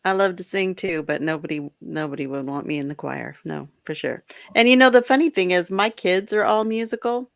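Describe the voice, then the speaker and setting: Low-voiced laughing middle-aged woman. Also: a little fast, gravelly, dry recording, studio quality. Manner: laughs often, easygoing. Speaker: guest. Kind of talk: casual chat.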